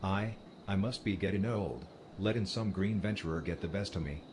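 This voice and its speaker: A gruff old pirate from a fantasy game , voiced by a man, speaks in a deep, grudging voice. There is clear ambient noise behind him. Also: normal speed, close to an everyday voice. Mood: weary, grudging. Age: older.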